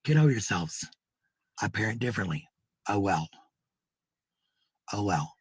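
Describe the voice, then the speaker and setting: A man ranting informally in a raspy voice, combative and sweary, repeating points for emphasis. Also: on the low side, big pitch swings, unhurried, no room sound. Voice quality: husky, raspy. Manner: combative, profane, repetitive. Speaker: parent speaking in a clip. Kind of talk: angry informal rant.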